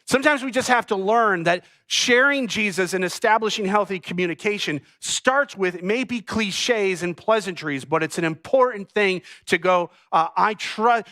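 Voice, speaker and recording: A middle-aged man from New England preaching a sermon, telling stories and acting out bits of dialogue with lively energy. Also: sits high, normal speed, no echo, clean studio sound. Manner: animated storytelling with acted-out dialogue. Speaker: pastor. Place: church stage.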